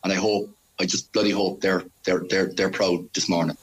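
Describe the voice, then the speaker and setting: Lively Cork man, low voice. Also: normal speed, low-quality recording. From Ireland. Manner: chatty, laughing storyteller. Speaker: football coach. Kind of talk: casual interview chat.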